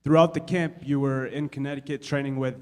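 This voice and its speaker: A man's voice: mid-range voice, steady tone, moderate pace. A man reporter asking a fighter questions in a friendly, slightly apologetic way. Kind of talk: press scrum question.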